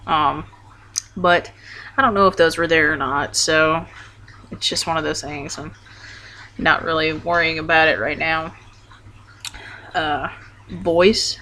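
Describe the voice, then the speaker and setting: A young man chatting casually to camera, taking his time and halting often with ums and false starts. His voice has a bright, sharp edge and wavers between deeper and higher notes. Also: fairly even intonation, a little hiss or hum. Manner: hesitant, rambling, full of ums and restarts. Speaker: vlogger. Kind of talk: casual video update.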